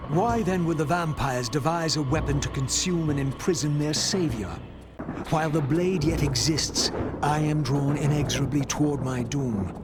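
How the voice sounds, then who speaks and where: An actor playing a brooding, defiant undead wraith in a gothic video game, speaking with solemn, theatrical eloquence and formal diction. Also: male voice, on the high side, moderate pace, noisy background, lightly acted. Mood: bitter, fatalistic. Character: brooding, defiant undead wraith seeking answers about his fate. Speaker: game character and narrator. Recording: loud music behind game cutscene audio. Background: loud dramatic music.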